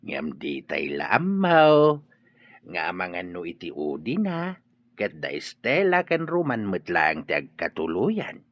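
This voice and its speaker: A man playing a slow, gentle middle-aged father. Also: sits low, wildly swooping pitch, no echo, exaggerated voice. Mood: friendly. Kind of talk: radio drama acting. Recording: dry muffled studio audio.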